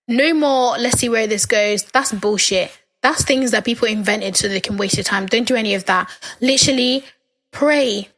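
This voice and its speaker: A young woman talks on her own podcast, her voice sitting fairly high. She is chatty and animated, leaping up in pitch when she acts out what others said. Her voice has gone slightly hoarse from talking so long. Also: poor audio. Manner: animated, chatty, acts out quoted lines with big pitch jumps. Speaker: podcast host. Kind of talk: casual solo storytelling.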